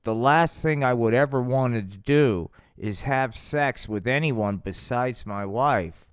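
A man's voice: mid-range voice, takes it slowly, clear, resonant tone, no room sound, studio quality, lightly acted. A man voicing a reluctant, pressured prophet puppet in a comic show, meek and golly-gee folksy.

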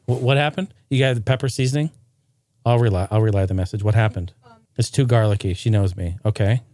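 A man hosting a casual chat, excitable and quick to laugh, often calling people dude. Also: lowish voice, no echo.

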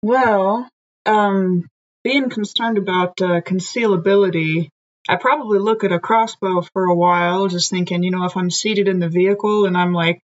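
A woman's voice: mid-range voice, fairly even intonation, normal speed. A woman playing a tabletop game, thinking aloud with dry humor. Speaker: player. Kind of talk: casual tabletop game chat. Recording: dull lo-fi sound.

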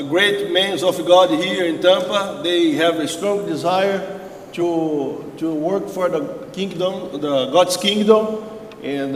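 A man with a Portuguese accent gives a slow, hesitant church testimony in broken English, stopping often on "uh." His voice sits a little high. The sound is roomy, with a long church-hall reverb and faint noise behind. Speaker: pastor. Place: large church sanctuary.

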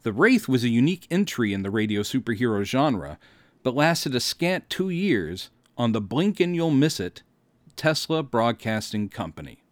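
A man's voice: mid-range voice, sing-song, normal speed, no echo. A middle-aged American man hosting a podcast, reading scripted first-person narration in a wry, theatrical storytelling manner. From Texas, United States. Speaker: podcast host and director.

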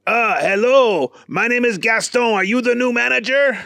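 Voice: mid-range voice, normal speed, gravelly, sharp tone, dry recording, studio quality, pitched above the performer's own voice. A storyteller voicing an adult man who works at a restaurant, polite and amused.

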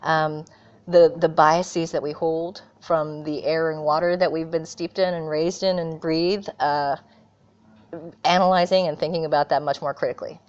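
A middle-aged woman with an American accent, speaking in a low voice. She answers earnestly and thoughtfully, pausing often and using fillers. The audio is dull and lo-fi. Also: level tone. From California, USA. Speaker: guest. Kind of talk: reflective interview answers.

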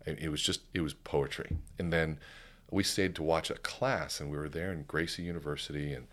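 A middle-aged man, a guest speaker in a casual podcast chat, talking in a deliberate, resonant voice from the diaphragm and telling stories. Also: very deep voice, fairly even intonation, fairly bright tone.

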